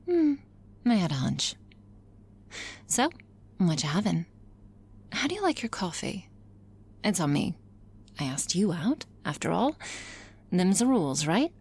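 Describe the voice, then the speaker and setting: A woman playing a teasing, cynical, flirtatious boss in an intimate ASMR roleplay, sardonic and often slipping into breathy whispers. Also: on the low side, very animated, unhurried, long pauses, a little mellow, heavily acted. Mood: teasing, caring.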